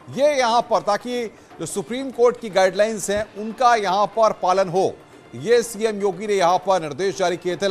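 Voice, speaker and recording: A man moderates a live news debate. He pushes his points insistently and repeats them, over a faint music bed. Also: sits high.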